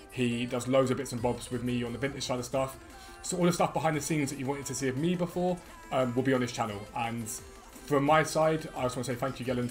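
A man in his thirties with a slightly croaky voice, chatting quickly and eagerly to viewers as a gaming YouTuber, recorded at a desk in a room at home. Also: mid-range voice. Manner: rapid, chatty, enthusiastic. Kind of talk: energetic casual chat to viewers.

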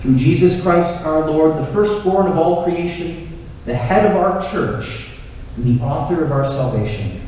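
Male clergyman for formal Eucharistic prayer: solemn, slightly high voice, distant in a very echoey hall. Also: expressive, measured pace, mellow. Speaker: Anglican priest. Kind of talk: liturgical prayer recitation. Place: inside a church at the altar. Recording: echoey church hall, distant, faint noise.

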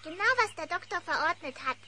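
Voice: very high-pitched, lively intonation, fairly quick, heavily acted. A performer voicing an eager, naive little boy bear cub with a childlike manner in a children's cartoon audio play. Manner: childlike, bright-eyed.

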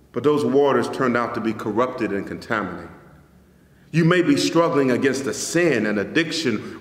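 A pastor in his forties preaching a sermon in a church sanctuary, measured and rising on key phrases, then dropping soft at the ends of lines. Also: medium pitch. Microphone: stand mic in front, camera at a distance. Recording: echoey church hall.

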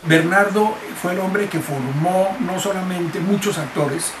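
A man's voice: high voice, a little slow, background noise. An older man, explaining things by example in a reminiscing interview.

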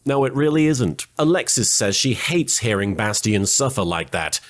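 A man's voice: medium pitch, big pitch swings, normal speed. A man giving scripted comedic recap narration as a host, in a dry, wordy, sardonic way. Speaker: host of anime recap reaction series.

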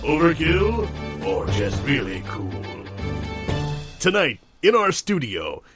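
A man's voice: a little high, very animated, slow pace, music in the background. A man playing an earnest TV host in an improvised parody show, with a dramatic announcer delivery. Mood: earnest hype. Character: earnest male TV host hyping absurd show.

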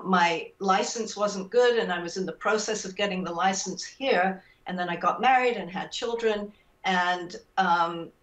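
A woman from England in her sixties with an English accent, a guest speaking thoughtfully on a video call from her home. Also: medium pitch. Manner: thoughtful, measured, with frequent um fillers. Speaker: guest author. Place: home room with bookshelves. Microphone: video call webcam. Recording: echoey room, voice a bit far from the mic.

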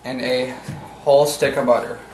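A teenage male giving step-by-step cooking instructions in a halting, recited way in a home kitchen. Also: medium pitch, level tone. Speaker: cooking video presenter. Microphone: camera mic at a distance. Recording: distant camera mic, roomy, noisy background.

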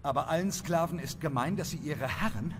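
A man's voice: fairly high pitch, fairly quick, fairly bright tone, a little hiss or hum, natural voice. A man playing a level-headed, pragmatic leader of fantasy adventurers, watchful and decisive. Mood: guarded, decisive.

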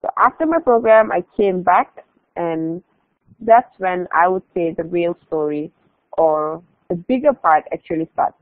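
A woman's voice: lowish voice, lively intonation, a little slow, no echo. A young woman from Malaysia giving a casual online presentation about her exchange year, often saying "like" and restarting phrases. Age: young adult. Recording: tinny phone-like online call audio.

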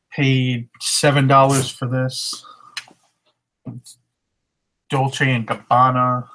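Unhurried thirties man, monotone, plain webcam sound, reselling talk. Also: mid-range voice, slight background noise, rough recording. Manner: relaxed, matter-of-fact item rundown. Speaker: reseller showing thrift finds. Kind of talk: casual haul vlog. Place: living room at home. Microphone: webcam at desk.

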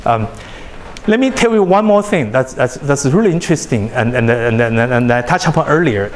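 A man whose native language is Chinese, giving a university lecture in a large hall, animated and often repeating words as he restarts sentences. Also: fairly high pitch, slight background noise. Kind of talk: classroom lecture.

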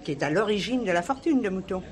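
A middle-aged merchant's wife, played by an actress, gossips with open disdain. Her voice swings animatedly in pitch. She is bossy and resentful, in the manner of a radio crime drama. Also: expressive, quick pace, close to an everyday voice. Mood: insistent.

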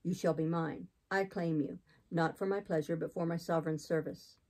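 A woman voicing a zealous, austere male missionary in an audiobook, solemn, deep and unyielding as he exhorts. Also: fairly even intonation, moderate pace, dark tone. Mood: relentless. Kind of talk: audiobook character reading.

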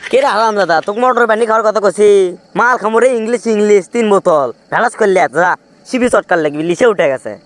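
A man's voice: sits high, fast, a little hiss or hum, close to an everyday voice. A man voicing a pushy, crude young friend with a Bogura Bangladeshi accent, brash and taunting in a comic cartoon.

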